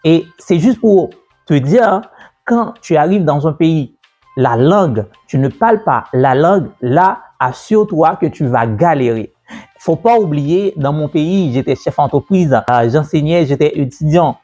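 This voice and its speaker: A man telling the story of his own business journey in a casual way, repeating himself and pausing with fillers. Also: fairly high pitch, expressive, warm tone, husky. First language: French. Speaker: entrepreneur and business coach. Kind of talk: personal story told to camera. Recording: dull, lo-fi sound with faint music.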